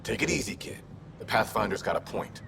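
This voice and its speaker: An actor playing a firm, commanding male station guard with a gravelly voice in a video game. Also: on the low side, expressive, fairly quick, fairly bright tone, a little hiss or hum, heavily acted. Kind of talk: scripted game dialogue.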